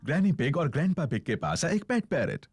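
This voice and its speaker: A man's voice: on the high side, fairly quick, breathy edge, dry recording, soft background music, heavily acted. A man as a friendly storybook narrator in a children's cartoon dub, explaining the scenes. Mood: cheerful. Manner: animated, explanatory.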